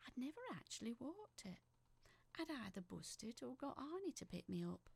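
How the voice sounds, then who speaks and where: A woman's voice: fairly high pitch, sing-song, normal speed, gravelly, darkish tone, breathy edge, heavily acted. A woman playing a chatty, apologetic working-class factory worker, telling her story as a rambling, wistful letter in an audio drama. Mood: wistful, storytelling. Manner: rambling, self-deprecating, wistful.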